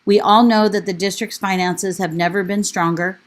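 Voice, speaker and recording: A woman teacher and union officer delivering a prepared public comment to a school board in an earnest, steady manner over a remote call. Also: mid-range voice, moderate pace. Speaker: teacher and union vice president. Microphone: remote call audio.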